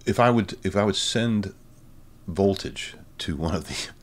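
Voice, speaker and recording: A man giving a casual, halting tech demo of a hobby project, dryly informal and self-deprecating, with a gravelly voice. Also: sits low, expressive. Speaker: presenter of a hobby electronics project.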